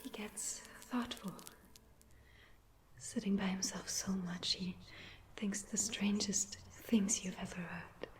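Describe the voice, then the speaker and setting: A woman playing a tender, wistful parent speaking of a child, in a hushed whisper for an ASMR storybook drama. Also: medium pitch, fairly even intonation, slow pace, close to an everyday voice.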